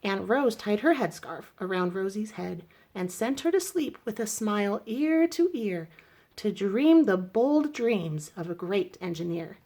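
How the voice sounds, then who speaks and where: Woman doing a kids read aloud, rhyming story, expressive, normal mid pitch. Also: measured pace. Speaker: storybook reader. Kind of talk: expressive scripted children's story narration in rhyming verse.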